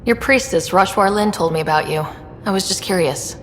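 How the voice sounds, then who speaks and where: A woman's voice: medium pitch, fairly quick, soft background music, close to an everyday voice. A woman playing a determined, wry human explorer in a sci-fi video game. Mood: dry, casual. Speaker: player character in a sci-fi game. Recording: long reverb, faint background noise.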